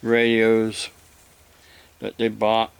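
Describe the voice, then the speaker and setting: A man giving a rambling, casual explanation to viewers, halting and repeating himself as he goes. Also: lowish voice, monotone, creaky, smooth, no echo. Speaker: vlogger.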